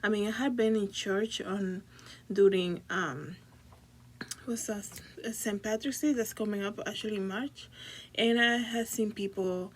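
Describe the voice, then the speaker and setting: A woman's voice: mid-range voice, steady tone, unhurried, slight background noise. A woman from the Dominican Republic talking casually to her viewers as a video blogger, often searching for her words. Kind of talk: casual personal talk to viewers.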